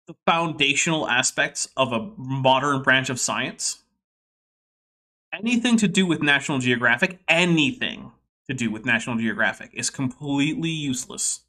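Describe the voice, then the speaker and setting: A man from the Boston area hosting a podcast and explaining things in quick, talkative bursts. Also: a little high, bright, forceful tone. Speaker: podcast host. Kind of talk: casual commentary.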